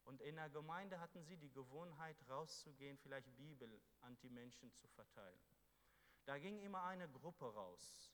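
A man preaching a sermon to a congregation, fervent, moving between loud exhortation and quiet, earnest passages. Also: medium pitch, lively intonation, moderate pace. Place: church hall. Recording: echoey hall with faint hum.

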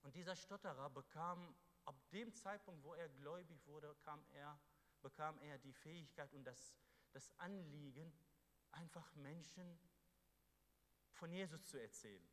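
A man's voice: a little high, a little slow. Fervent male preacher in an echoey hall. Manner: fervent, swings from loud exhortation to quiet. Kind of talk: sermon. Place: church hall. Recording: echoey hall with faint hum.

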